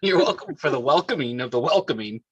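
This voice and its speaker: A man's voice: medium pitch, lively intonation, fast talker, husky, dull audio. A man making jokey, casual banter.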